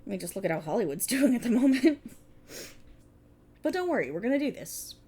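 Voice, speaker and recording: A woman's voice: on the high side, big pitch swings, brisk, warm tone, slightly rough. A woman playing a prickly, exasperated police officer in a scripted ASMR roleplay, talking in a wry, bossy, explanatory way to an unheard partner. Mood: wry, encouraging. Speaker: voice actor in audio roleplay. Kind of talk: scripted ASMR roleplay, one-sided dialogue.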